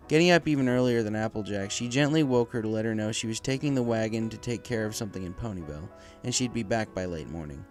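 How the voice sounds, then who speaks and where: A man reading scripted story narration as the narrator, close to the microphone. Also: fairly low pitch. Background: music.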